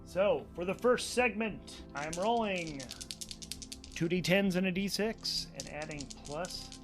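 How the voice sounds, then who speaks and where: A man narrating a solo tabletop role-playing game as game master, improvising haltingly and often repeating words. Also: high voice.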